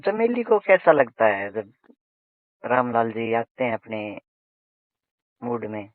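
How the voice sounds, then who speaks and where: A man's voice: mid-range voice, sing-song, slow, dry recording. A man chatting casually and intimately on a recorded phone call, colloquial and teasing, often repeating his phrases.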